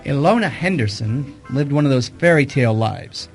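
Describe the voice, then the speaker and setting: An actor playing a wisecracking, informal private detective in a radio mystery. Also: male voice, mid-range voice, animated, normal speed, vocal fry, soft background music. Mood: wry narration. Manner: wry, informal. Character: wisecracking private detective, uneasy among high society. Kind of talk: hard-boiled detective radio drama.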